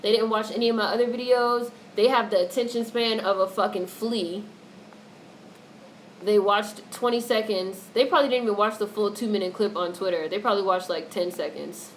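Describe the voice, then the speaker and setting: A woman's voice: medium pitch, fairly even intonation, moderate pace. A woman hosting a casual livestream, reading out and answering chat comments in a blunt, opinionated way with free swearing. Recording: noisy livestream audio with background noise.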